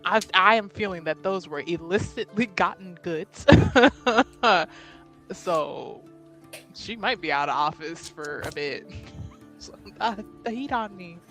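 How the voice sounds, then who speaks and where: A woman's voice: fairly low pitch, animated, slow pace, fairly bright tone, soft background music. A woman chatting casually and informally as a player in a tabletop roleplaying game, with a giggly manner. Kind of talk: casual game chat with friends.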